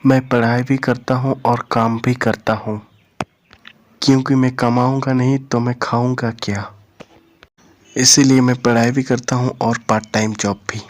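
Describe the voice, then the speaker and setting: A man's voice: medium pitch, little pitch movement, moderate pace, natural voice. A man voicing a humble, eager young servant in a cartoon story, speaking respectfully and earnestly. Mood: polite, earnest. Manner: deferential, apologetic, earnest.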